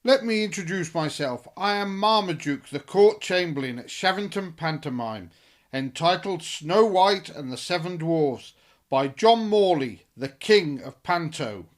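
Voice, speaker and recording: A woman's voice: fairly low pitch, animated, a little slow. A woman playing a pompous, officious, long-suffering royal chamberlain in a pantomime. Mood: exasperated, pompous. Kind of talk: amateur pantomime acting.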